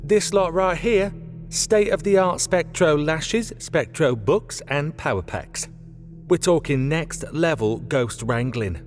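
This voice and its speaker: A man's voice: a little high, takes it slowly, big-room sound, soft background music, pitched above the performer's own voice. A man voicing a bold, boastful woman inventor in an audiobook, with emphatic, showy delivery. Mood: proud, boastful. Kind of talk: audiobook character voice.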